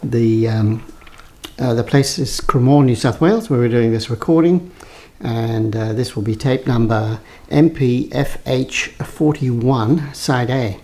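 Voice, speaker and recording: A man conducting an oral history interview, opening with the formal recording details and then asking measured questions. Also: mid-range voice, darkish tone. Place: home in Cremorne, Sydney.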